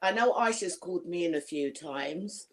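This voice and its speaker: A woman with a gruff voice chatting frankly with her family support team, often restarting her sentences. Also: fairly low pitch, lively intonation, normal speed. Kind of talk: casual team chat.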